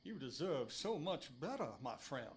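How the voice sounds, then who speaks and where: A man's voice: fairly high pitch, big pitch swings, slight background noise, voice pushed up. A man voicing a tall older cult preacher in a tabletop game, theatrical and solemn, with a show of tender concern. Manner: theatrical, solemn, drawn-out delivery.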